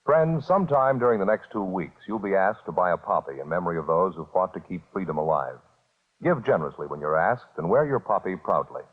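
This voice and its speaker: Deep, reverberant American radio announcer. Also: male voice, animated, fairly quick. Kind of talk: solemn 1950s radio announcing. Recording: reverberant muffled old radio recording.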